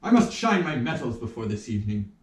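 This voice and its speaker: A man playing a pompous, flustered army major in a puppet show, theatrical and full of drawn-out exclamations. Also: mid-range voice, very animated, lightly acted. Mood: self-satisfied. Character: flustered pompous army major who lost his nose. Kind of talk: puppet show stage acting in rehearsal. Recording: roomy echo, somewhat distant mic.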